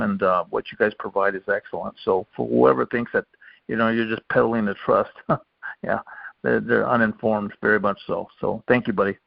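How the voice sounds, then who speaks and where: Gravelly, friendly man on a lo-fi call. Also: medium pitch, no room sound. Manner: friendly, informal, appreciative. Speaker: caller. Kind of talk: casual chat. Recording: dull, lo-fi phone call.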